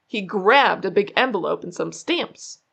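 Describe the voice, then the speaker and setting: A woman reading a children's story aloud in an expressive way, drawing out key words for suspense. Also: mid-range voice, a little slow, creaky. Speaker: children's book narrator. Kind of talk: animated read-aloud storytelling.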